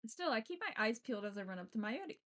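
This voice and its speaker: A woman streamer reading a visual novel aloud and adding casual, playful, expressive commentary. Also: fairly high pitch, fast. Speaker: game streamer.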